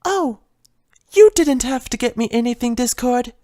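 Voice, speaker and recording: A woman voicing a gentle, shy young pony character in a story reading, soft and sweet. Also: fairly high pitch, lively intonation, normal speed, no room sound, studio quality, pitched above the performer's own voice, natural voice. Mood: gentle, wistful. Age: young adult. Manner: sweet, timid.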